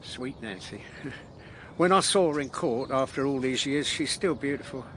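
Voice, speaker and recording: Actor as a sharp-voiced, smug older man. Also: mid-range voice, moderate pace, slight background noise, natural voice. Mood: wistful. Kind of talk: naturalistic radio drama acting.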